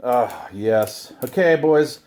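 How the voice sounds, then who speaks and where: A man's voice: medium pitch, animated, slow, a little mellow. Middle-aged male host for casual unboxing videos; mid-range voice, rambling, chatty delivery with self-deprecating grumbles. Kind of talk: casual unboxing chat to camera.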